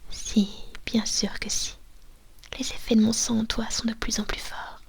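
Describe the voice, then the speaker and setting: A woman voicing a manipulative female vampire mistress in an ASMR roleplay, talking in a slow, coaxing, breathy whisper into a binaural microphone. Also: a little high, level tone, exaggerated voice. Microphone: binaural dummy-head mic.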